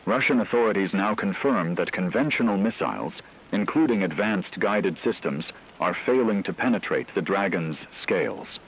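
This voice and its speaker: Guy acting as a deep solemn radio drama newsman, dramatic disaster report, hissy noisy broadcast. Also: lively intonation, moderate pace, hardly any pauses. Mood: grave. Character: grave male radio anchor reporting a catastrophe. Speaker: radio news anchor. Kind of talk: scripted breaking news narration.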